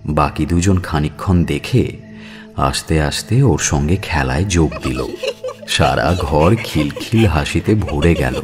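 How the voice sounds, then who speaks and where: A man narrating a scripted audio story in a measured, expressive storytelling manner. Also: extremely low pitch.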